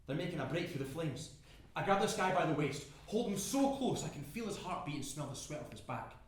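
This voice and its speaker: An actor playing a desperate oil rig worker fleeing a fire, with intense, emphatic delivery in a dramatic monologue. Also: male voice, fairly high pitch, lively intonation, fairly quick, close to an everyday voice. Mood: urgent, breathless. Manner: intense, emphatic, swinging into screams. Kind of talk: dramatic first-person stage monologue. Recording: very echoey room.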